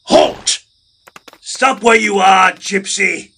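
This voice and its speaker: A man doing a brutish soldier, loud and raised. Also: high voice, steady tone, extremely slow, husky, voice pushed up, full character voice. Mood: menacing, gloating. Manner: shouting, sneering, aggressive. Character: brutish, greedy male soldier of a baron. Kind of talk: comic dub character acting.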